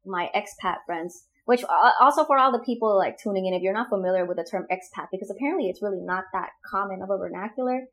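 A woman's voice: medium pitch, fast talker, hardly any pauses, a little hiss or hum. A young adult woman hosting a podcast, talking frankly and swearing casually. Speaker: podcast host. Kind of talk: casual debate chat.

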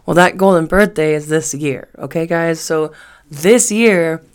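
A woman's voice: low-pitched, measured pace, clear, resonant tone. A woman in her twenties chatting casually on a solo podcast, rambling and swearing freely with emphatic repetition. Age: 20s. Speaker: podcast host.

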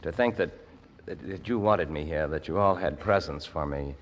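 A man's voice: rumbling low, normal speed. An actor playing an earnest, self-doubting young man in an old-time radio drama, with a hesitant, stammering delivery. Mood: moved, stammering. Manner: hesitant, stammering, repeating words. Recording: old radio recording, faint music behind.

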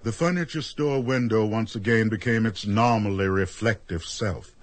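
A man narrating a scripted radio drama as a measured storyteller. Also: fairly low pitch, lightly acted.

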